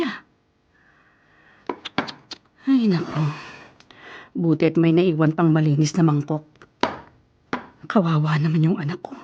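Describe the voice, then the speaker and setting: A woman's voice: mid-range voice, highs cut off, noisy background, lightly acted. An actress playing an older, worried and caring Filipino mother in a radio drama, fussing and nagging at her grown son. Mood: fussing, tender.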